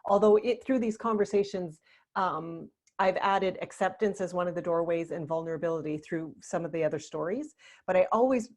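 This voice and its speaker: A woman's voice: fairly low pitch, normal speed, a little mellow. A woman life coach hosting an interview, speaking in an affirming, enthusiastic way. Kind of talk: interview conversation.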